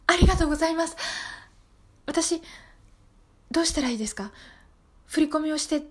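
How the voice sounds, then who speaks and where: A woman acts out a desperate single woman in her thirties in an improvised radio play. Her voice is high, and she pleads and repeats herself in an overwrought, gullible way. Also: expressive, fairly quick. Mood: eager, desperate. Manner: pleading, breathless repetition, overwrought. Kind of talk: improvised radio drama acting.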